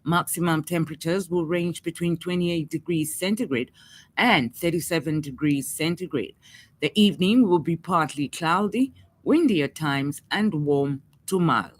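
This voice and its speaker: A woman's voice: low-pitched, moderate pace. A woman with a Zambian accent reads a scripted radio news bulletin as the news reader.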